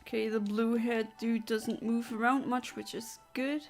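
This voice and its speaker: A woman's voice: a little high, fairly even intonation, measured pace, creaky, faint music behind. A woman from Europe, a live streamer, chatting casually and answering her viewers' comments aloud while she plays.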